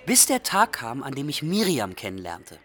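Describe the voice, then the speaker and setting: A man's voice: medium pitch, wildly swooping pitch, normal speed, a bit bright, faint music behind. A man acting as a young Iraqi refugee, candidly and expressively narrating adventures in a radio play.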